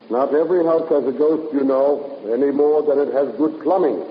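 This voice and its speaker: An actor playing a pompous, eccentric professor of psychic research in a 1950s radio drama, slyly teasing in a mannered way. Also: male voice, mid-range voice, lightly acted. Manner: theatrical, mannered, ponderous. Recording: muffled, noisy, echoey old radio recording.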